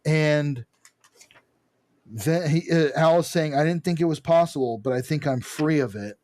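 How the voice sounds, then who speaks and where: A man's voice: a little high, a little slow, a little mellow, no room sound. Man co-hosting a podcast, dry close sound. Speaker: podcast co-host. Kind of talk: casual comic-book recap and commentary.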